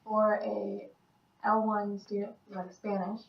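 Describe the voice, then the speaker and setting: A young woman in a student group discussion thinks out loud and keeps asking questions. Her voice sits at an ordinary pitch. It comes from a distance in an echoey room, with a faint hum behind it. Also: little pitch movement, takes it slowly, vocal fry, lo-fi sound. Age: young adult. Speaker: university student. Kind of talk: casual classroom group discussion.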